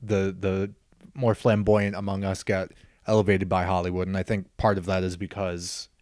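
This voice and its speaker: A man with a fairly low voice, co-hosting a podcast in loose conversation. He teases in a dry, deadpan way and states blunt opinions flatly. He is recorded close on a dry mic. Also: normal speed, dry recording. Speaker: podcast co-host. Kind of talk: casual chat.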